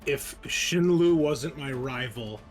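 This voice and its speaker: A man plays a tabletop game and talks in a blunt, casual way. His pitch is average for a man, and soft music runs underneath. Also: takes it slowly.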